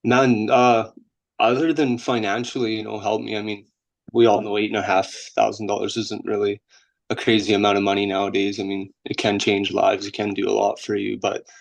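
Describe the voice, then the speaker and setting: A man's voice: on the low side, little pitch movement, brisk, creaky, rough recording. A young man from Bosnia, a guest trader, talking loosely and rambling over a video call, often tagging "you know what I mean" and "right?". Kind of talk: casual interview over a call.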